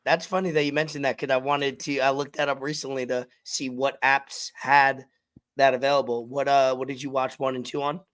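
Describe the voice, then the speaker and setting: A young man co-hosting a podcast in casual chat, giving quick, encouraging replies and chuckling along. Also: medium pitch, little pitch movement, fairly bright tone, smooth. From Cuban and Puerto Rican heritage. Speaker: podcast co-host.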